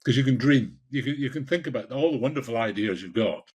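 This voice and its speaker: A Scottish man in his sixties with a husky voice, answering interview questions in a passionate, emphatic way and repeating phrases for emphasis. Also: medium pitch, lively intonation, fast, darkish tone. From Scotland. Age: 60s. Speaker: executive coach, podcast guest.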